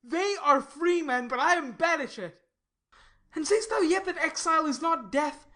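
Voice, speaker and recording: Shakespeare audio drama: lovesick male youth voiced by a woman, high-pitched, distraught, theatrically anguished. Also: lively intonation, moderate pace, a bit bright. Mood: anguished, bitter. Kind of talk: classical stage tragedy in audio.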